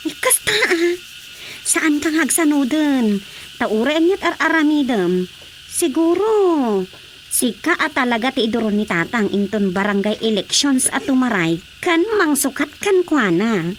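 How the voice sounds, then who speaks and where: A woman's voice: high-pitched, sing-song, a little slow, slight background noise. An actress playing an earnest young woman who speaks respectfully to her mother in a radio drama. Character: young woman, devoted daughter. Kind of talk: Ilocano radio drama acting.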